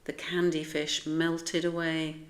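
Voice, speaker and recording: British female narrator for a picture book, slightly low voice, very measured pace, expressive. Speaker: storyteller reading aloud. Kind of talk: expressive scripted children's story narration.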